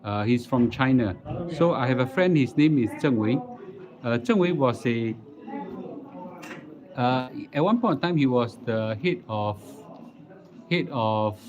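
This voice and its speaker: A middle-aged man with a Singaporean accent chatting casually as a livestream host, halting and repeating words as he goes. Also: mid-range voice, lively intonation, a little mellow. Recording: dull lo-fi live stream audio.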